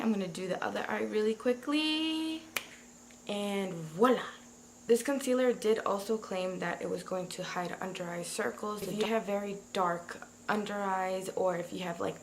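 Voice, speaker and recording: A woman reviewing a product in a casual, chatty way, talking straight to her viewers, with a slightly raspy early-morning voice. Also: mid-range voice, slight background noise, poor audio. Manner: chatty, talks to viewers directly. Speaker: beauty vlogger.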